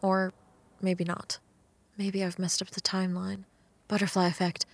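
An actress playing a quick-witted, frank modern woman in an audio drama, with casual, blunt phrasing. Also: mid-range voice, completely flat delivery, vocal fry, dry recording. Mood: matter-of-fact. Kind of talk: full-cast audio drama acting.

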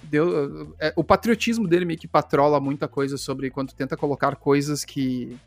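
A man's voice: a little high, fairly even intonation, normal speed, no echo, faint music behind. A man interviewing a guest in a casual chat, talking loosely and searching for his words. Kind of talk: casual conversation.

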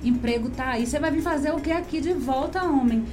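High-voiced Bahian woman, playful and chatty. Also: brisk, music in the background. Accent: Northeastern Brazilian, Bahian. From Salvador, Bahia, Brazil. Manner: playful, chatty, animated storytelling. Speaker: influencer guest. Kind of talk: casual chat.

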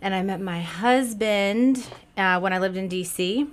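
Direct woman guest with a smooth voice. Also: mid-range voice. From born in New Jersey, grew up in South Florida. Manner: direct, talks quickly about her life. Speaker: podcast guest. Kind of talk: casual chat.

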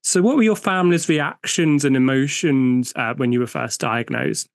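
A man hosting a podcast, friendly and a little halting as he asks his questions. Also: mid-range voice, sing-song, no room sound, studio quality. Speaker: podcast host. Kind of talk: podcast interview.

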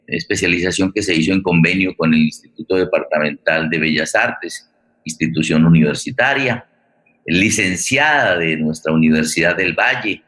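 Deep, bright-voiced Colombian male host. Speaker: host of a radio theatre program. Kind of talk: live interview hosting.

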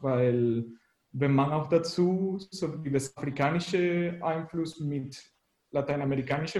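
A man's voice: a little high, darkish tone, echoey room, rough recording. A man from Venezuela with a Venezuelan Spanish accent, answering casually as an interview guest and searching for words in a language that is not his native one. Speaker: interview guest, musician and graphic designer.

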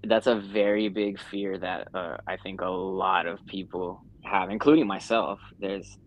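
A man from the United States with a lowish voice hosts a podcast. He asks long, loose questions packed with fillers and restarts. He keeps an easygoing conversational tone with his guest. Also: steady tone, measured pace, highs cut off. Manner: rambling, filler-heavy, uses "like" and "you know". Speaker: podcast host. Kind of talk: casual interview chat.

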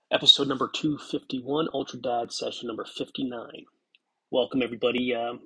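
A husky man in his forties talks through a solo podcast episode. He sounds stuffy from a cold and now and then clears his throat. He rambles reflectively, full of fillers and restarts. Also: mid-range voice, a bit bright, low-quality recording. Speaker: podcast host. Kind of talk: casual solo podcast monologue.

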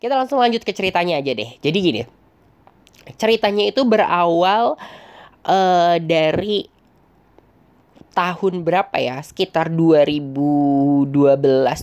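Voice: mid-range voice, normal speed, darkish tone, dull audio. A young podcast host, nasal and congested from a cold. The delivery is loose and chatty and wanders from point to point, full of hesitations. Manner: rambling, chatty, many hesitations. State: stuffy nose from a cold. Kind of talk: casual solo storytelling.

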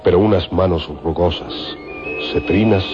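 A man narrating an old radio drama serial in a dramatic, suspenseful scripted style with measured phrasing. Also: deep voice. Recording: muffled old radio recording with loud music.

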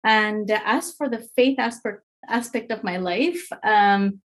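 A woman's voice: medium pitch, unhurried. A woman in her forties from Mexico, a podcast guest speaking frankly and reflectively about her faith. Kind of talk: casual personal testimony.

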